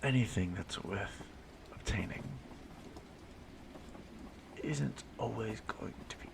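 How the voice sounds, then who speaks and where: A man playing an ageless stalker in an audio roleplay, speaking to his prey in a hushed, halting, softly menacing way, often breathy and whispering. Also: on the low side, background noise. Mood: reflective. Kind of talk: audio roleplay monologue addressed to the listener.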